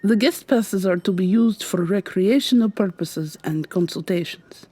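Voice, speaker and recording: A woman's voice: medium pitch, measured pace, husky, no echo, faint music behind. A woman playing a weary, sardonic vampire receptionist with a secret, acting in a scripted ASMR roleplay. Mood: politely firm. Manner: polite customer-service patter, hushed urgent asides.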